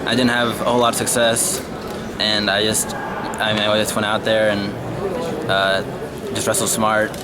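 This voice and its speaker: A young man with an American accent speaking casually as an athlete being interviewed, in a hesitant, modest, halting way. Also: on the low side, flat delivery. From Israel-born, raised in Texas from about age six. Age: young adult. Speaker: wrestler, interviewee. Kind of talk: casual post-match interview. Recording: noisy outdoor-sounding venue with loud background. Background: loud ambient noise.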